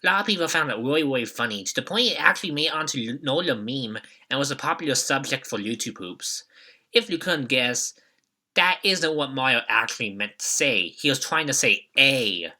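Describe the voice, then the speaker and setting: Bright-voiced young man hosting a video retrospective. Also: medium pitch, animated, normal speed. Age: young adult. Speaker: YouTube retrospective host. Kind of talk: joking scripted commentary.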